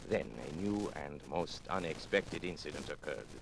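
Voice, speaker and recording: A man acting as a grave, measured storyteller in a 1940s radio drama, narrating formally and with suspense. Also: very deep voice, creaky. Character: grave storyteller recounting a baffling mystery. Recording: noisy old 1940s radio recording.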